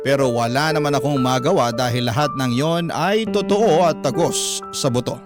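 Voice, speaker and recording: A man's voice: mid-range voice, moderate pace. A man with a Filipino accent reading a letter as dramatic scripted radio narration. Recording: music bed under the voice.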